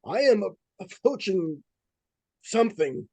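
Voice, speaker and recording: Pompous male paranormal investigator, played by an actor for a radio suspense play: high voice, intent, stately diction, close dry sound. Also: sing-song, dark tone, no room sound, heavily acted. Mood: intent, portentous. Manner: formal, deliberate, portentous. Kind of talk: old-time radio suspense acting.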